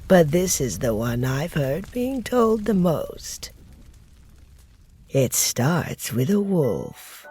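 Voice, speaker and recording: An actor playing a calm storyteller in a comic dub, telling children a legend in a measured, expressive way. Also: medium pitch, exaggerated voice. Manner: measured, unhurried, expressive delivery. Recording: music behind the voice.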